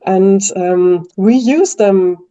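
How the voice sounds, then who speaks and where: A woman's voice: medium pitch, very slow, dark tone. A German-speaking woman with a German accent, a linguist answering interview questions in a thoughtful way, with many fillers and restarts. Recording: dull lo-fi sound.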